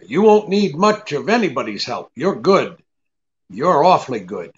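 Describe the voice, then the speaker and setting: An actor playing a shrewd, cool private detective in an old-time radio drama, wry and blunt, hesitating with the odd uh. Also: male voice, on the high side, very animated, normal speed. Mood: dry, probing. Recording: dull, lo-fi audio.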